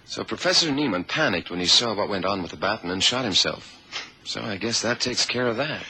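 An actor playing a detective's eager American sidekick in an old radio drama, with a folksy, exclaiming way of talking. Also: male voice, lowish voice, animated, fairly quick, bright, forceful tone, full character voice, rough recording. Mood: impressed. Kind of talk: 1970s radio drama acting. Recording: faint hiss, old radio recording.

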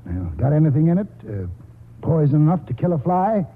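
A man's voice: a little high, sing-song, fairly quick, exaggerated voice. An older American man acting a stubborn, wily country grandfather in a radio drama, with folksy, rambling speech. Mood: stubborn, bargaining. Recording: muffled, husky-sounding vintage radio broadcast.

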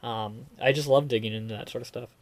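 A man's voice: mid-range voice, steady tone, quick pace, no room sound. A man hosting a casual podcast chat, talking in a rambling way with lots of fillers. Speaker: podcast host.

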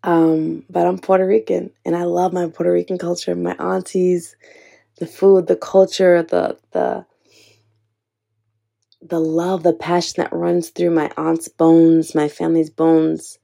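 Husky-edged warm woman talking earnestly. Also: fairly low pitch, level tone, a little slow. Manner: earnest, encouraging, addresses listener as sis. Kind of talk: heartfelt personal talk to camera.

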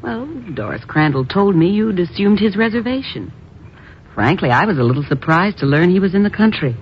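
A woman's voice: on the low side, lively intonation, a little fast, close to an everyday voice. An actress playing a poised, affectionate but evasive society woman, with a polished, airy manner, in 1950s radio drama style. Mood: breezy, poised. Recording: muffled, hissy old radio recording.

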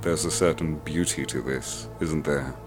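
A sinister male cultist tells his tale in a calm, measured way. His voice sits very low. Loud brooding music runs under every word. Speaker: narrator.

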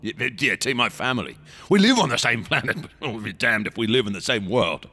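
An actor in a radio drama playing a fanatical, temperamental artist, rambling and stammering with feverish intensity in a gravelly, raspy voice. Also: male voice, medium pitch, expressive, brisk, pushed and bright. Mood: feverish zeal. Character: fanatical, temperamental male artist of monstrous museum exhibits.

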